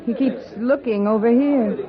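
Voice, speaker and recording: An actress playing a bitter, worldly woman in a 1950s radio western, husky and sardonic with a dramatic delivery. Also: fairly high pitch, normal speed, a crowd in the background, lightly acted. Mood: wary. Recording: slightly muffled vintage radio recording.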